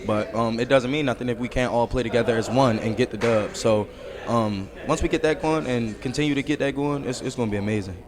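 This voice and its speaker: A man's voice: mid-range voice, fast. Laid-back young man, crowd behind. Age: young adult. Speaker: college football player. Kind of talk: media day interview. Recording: crowded event noise behind.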